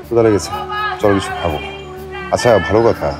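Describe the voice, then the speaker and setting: A man's voice: sits low, quick pace, over music, a crowd in the background, lightly acted. An actor playing a devoted, headstrong young man in love in a television drama. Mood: eager. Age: young adult. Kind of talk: TV drama acting.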